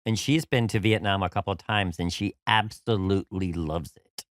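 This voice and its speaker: A man's voice: low-pitched, normal speed, no echo, clean studio sound. A man from New York hosting a podcast interview, talking in an upbeat, effusive way with many "you know"s. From New York City. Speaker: podcast host. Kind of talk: casual interview chat.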